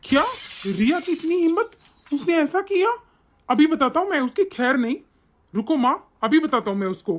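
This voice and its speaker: A woman's voice: on the high side, animated, fast, few pauses, a little hiss or hum, close to an everyday voice. A woman playing an angry, domineering young husband and devoted son, bossy and accusing in a family melodrama. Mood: furious, accusing. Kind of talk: domestic family melodrama acting. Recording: dull, muffled lo-fi sound.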